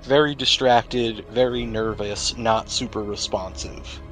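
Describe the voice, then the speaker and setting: A man acting as game master, narrating and explaining rules in a measured, explanatory way. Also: fairly low pitch, steady tone, fairly bright tone, soft background music, rough recording.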